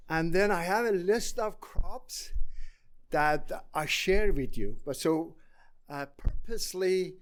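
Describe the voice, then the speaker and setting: A man in his sixties from Iran with an Iranian accent, giving a conference presentation in a halting way with many pauses. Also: fairly high pitch. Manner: halting, many fillers and restarts. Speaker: conference speaker.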